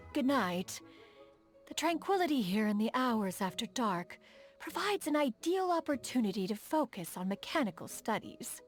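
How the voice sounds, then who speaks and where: An actress voicing a refined, dignified immortal woman and proud inventor in a video game, speaking in a formal, stately manner. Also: sits high. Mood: kindly, courteous. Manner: formal, stately, refers to herself as "one". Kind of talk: video game character dialogue.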